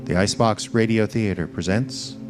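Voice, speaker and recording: A man's voice: lowish voice, fairly even intonation, moderate pace, over music. A man reading a dramatic scripted prologue as the narrator of an audio drama.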